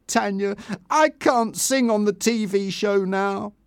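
A man voicing an excitable, insecure young woman who sings in a band, in an eager and emotional way. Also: sits high, slow pace, creaky, smooth, dry recording, studio quality, pitched above the performer's own voice, heavily acted. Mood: shocked, upset.

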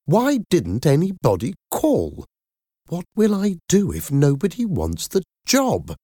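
An anxious, earnest mother, read by a man in a storybook. The voice is raised in pitch and animated, quick to sound exasperated. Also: husky, dry recording, studio quality, exaggerated voice. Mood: worried. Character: anxious, easily exasperated mother seeking a nanny.

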